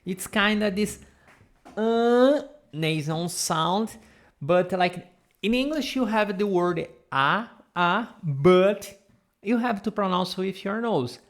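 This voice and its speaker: Slow-talking Brazilian woman teacher. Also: mid-range voice, lively intonation. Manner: slow, patient, repeats drilled sounds. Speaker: Portuguese teacher. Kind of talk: live online language lesson. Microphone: camera mic.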